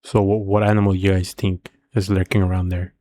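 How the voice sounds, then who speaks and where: A man's voice: low voice, flat delivery, a little fast, warm tone. A man hosting a reaction video, giving casual, informal commentary and musing aloud with questions and theories.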